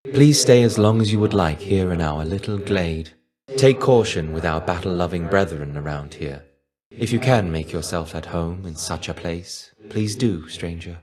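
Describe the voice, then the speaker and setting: An actor playing the shade of a fallen Greek warrior in a video game, wry, reserved and melancholy, with a measured, understated delivery. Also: male voice, low-pitched, expressive. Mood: wry, welcoming. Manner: measured, understated, wistful, trailing off at phrase ends. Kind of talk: video game character voice lines.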